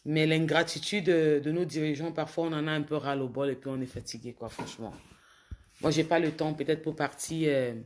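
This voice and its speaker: A woman with a cold, a bit hoarse, talking casually to viewers on a phone livestream and scolding commenters with indignation. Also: sits low, fairly even intonation, fairly quick. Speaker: social media livestreamer.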